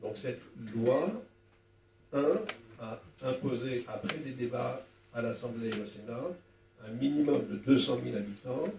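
An older man explaining things at a public debate in a halting, didactic way, speaking into a handheld microphone. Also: medium pitch, creaky. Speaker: former local councillor, panel speaker. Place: small wood-walled meeting room at a public event. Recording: roomy, distant sound with faint noise.

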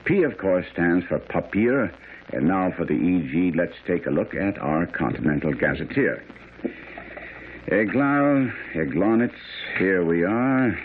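Radio mystery: assured, didactic detective, very low voice, lively intonation, phone-like sound. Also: male voice, a little slow. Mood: keen, instructive. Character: brilliant, self-assured, incisive male detective. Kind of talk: radio drama acting. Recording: muffled, noisy old radio recording.

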